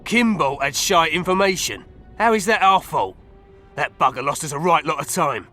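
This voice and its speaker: Bright-voiced, coarse thug. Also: male voice, a little high, expressive, moderate pace, faint music behind. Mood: indignant. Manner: crude, blunt, colloquial. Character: coarse, foul-mouthed male hired thug, cocky and defiant. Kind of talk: video game voice acting.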